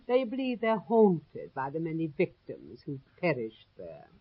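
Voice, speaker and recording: Imperious, husky old English matriarch. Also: female voice, sits low, huge pitch swings, takes it slowly, full character voice. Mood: evasive. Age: older. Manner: stern, genteel, commanding. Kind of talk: radio drama acting. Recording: dull 1970s radio drama recording.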